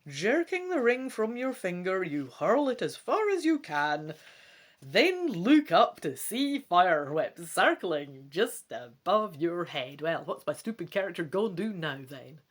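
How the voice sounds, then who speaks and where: Expressive woman with very lively pitch. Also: unhurried. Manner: theatrical, expressive, wry. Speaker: gamebook let's play presenter. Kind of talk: dramatic story reading with chatty asides.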